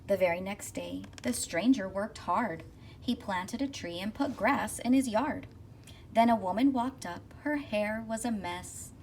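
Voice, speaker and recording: A woman reading a rhyming children's picture book aloud expressively, with rhythmic, deliberate phrasing. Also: mid-range voice, a little mellow. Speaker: school counselor reading aloud. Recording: distant mic with faint noise.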